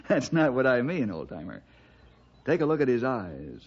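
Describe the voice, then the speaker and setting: Steady, devout American investigator, measured and firm, 1960s radio drama, slightly dull archival sound. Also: male voice, medium pitch, husky, heavily acted. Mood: patient. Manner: measured, probing, moralizing. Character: steady, principled man, devout leader and investigator. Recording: dull vintage radio recording.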